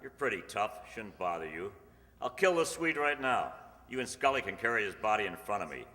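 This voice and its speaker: Man playing a hard-edged, forceful police detective. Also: lowish voice, big pitch swings, brisk. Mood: coolly threatening. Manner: curt, commanding, dry. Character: tough, unbribable undercover police detective holding crooks at gunpoint. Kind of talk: old-time radio crime drama acting. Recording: echoey hall stage recording.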